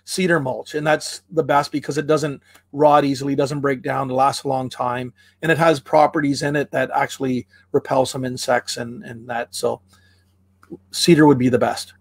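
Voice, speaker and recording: A man from Canada explaining tree care in a casual chat, relaxed and full of fillers and restarts, with playful comparisons. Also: medium pitch, moderate pace, breathy edge, dry recording. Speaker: tree care expert guest.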